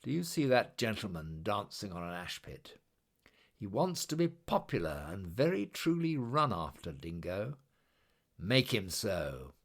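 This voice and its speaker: A man voicing a grand, gentlemanly god in a storybook, commanding and emphatic in manner. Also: fairly low pitch, sing-song, a little slow, exaggerated voice. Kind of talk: storybook character voice.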